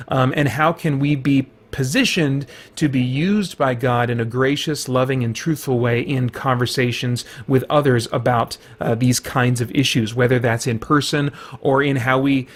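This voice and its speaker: A man hosting a podcast, running through community news in a casual, unscripted way, thinking aloud as he goes. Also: mid-range voice.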